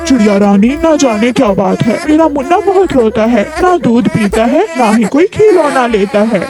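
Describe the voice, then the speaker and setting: A woman's voice: a little high, animated, normal speed, natural voice. A woman playing a grateful, kindly farmer's wife and mother in a children's story, earnest and polite. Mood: worried.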